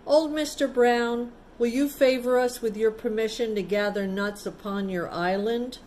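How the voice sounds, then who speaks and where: A courteous little storybook squirrel, voiced by a woman, speaking formally and deferentially. The voice is lifted a little in pitch as it asks permission. Faint noise sits in the recording. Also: fairly even intonation, unhurried, a little mellow, natural voice. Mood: polite, pleading.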